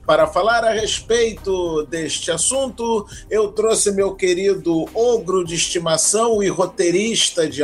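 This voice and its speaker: A man with a Brazilian accent hosting a podcast, chatty and playful in his announcements. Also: high voice. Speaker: podcast host. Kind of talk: casual scripted podcast intro and announcements. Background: music bed behind the voice.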